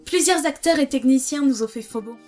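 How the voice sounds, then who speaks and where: A woman's voice: sits high, brisk, darkish tone, faint music behind. Blunt, laid-back woman. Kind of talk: casual Q&A answers.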